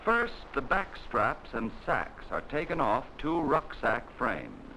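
A man narrating a 1940s military training film in a measured, instructional way, on an old film soundtrack. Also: mid-range voice, wildly swooping pitch, pushed and bright, slight background noise, poor audio.

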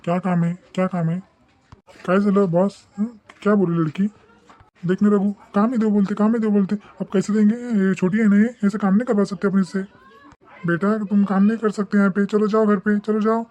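A man voicing a kindly, exasperated snack-shop boss in an animated moral story, repeating himself in an avuncular way. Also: high-pitched, a little fast, natural voice, low-quality recording. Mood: firm, refusing. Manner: repetitive, avuncular, mixing Hindi and Marathi.

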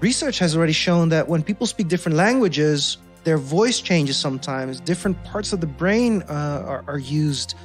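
A man's voice: on the high side, lively intonation, moderate pace, few pauses. Young Dutch-accented man, lightly raised voice, reflective guest with faint music under him. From Netherlands. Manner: thoughtful, many restarts and fillers, laughs easily. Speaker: podcast guest. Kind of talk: casual conversational interview.

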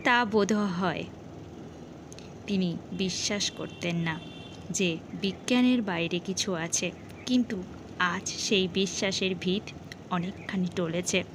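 A woman storyteller narrating a scripted horror story dramatically, in a measured way with pauses. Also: medium pitch, background noise.

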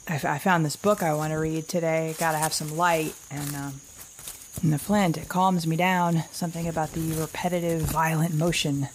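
A middle-aged actress playing an anxious, wry, weary survivor who records a halting, rambling diary in a naturalistic audio drama. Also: female voice, deep voice, steady tone, slight background noise, lightly acted. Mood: nervous, determined.

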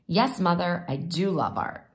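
A woman's voice: lowish voice, lively intonation, a little slow. A woman voicing an earnest, artistic young schoolgirl in a storybook, moody and solemnly precocious. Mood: indignant. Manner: solemn, declarative, a little precocious.

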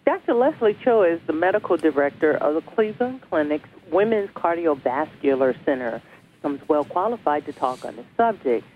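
A woman's voice: low-pitched, expressive, moderate pace. A woman hosting a broadcast interview, welcoming her guest effusively and asking questions. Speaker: talk show host. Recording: phone-like lo-fi line with faint noise.

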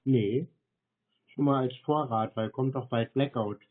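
A man in a casual phone conversation as a customer, giving short, deadpan answers over a phone line. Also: mid-range voice, unhurried, husky. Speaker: prank caller posing as customer. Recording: tinny narrowband phone line.

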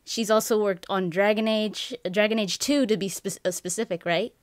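A woman's voice: mid-range voice, fairly even intonation, normal speed, no room sound. A young woman hosting an interview over a video call from her home, friendly and upbeat, often restarting her questions. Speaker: interview host. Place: home room with bookshelves.

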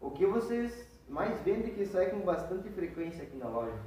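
Young man, mellow voice, interviewer, echoey shop recorded from afar. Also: sits high, very animated, moderate pace, slight background noise. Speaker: channel host and interviewer. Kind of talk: casual interview. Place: fishing tackle shop, indoors. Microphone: camera on a tripod, from a few meters off. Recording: echoey shop, far from the mic.

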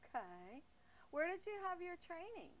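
A woman's voice: very high-pitched, sing-song, normal speed. A woman hosting an informal interview, often reacting with exclamations and murmurs. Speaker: interview host.

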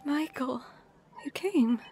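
A woman acting a tender, heartbroken young mother in an audio drama, halting and emotional. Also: high voice, lively intonation, mellow, slight background noise, exaggerated voice. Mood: hurt, tender.

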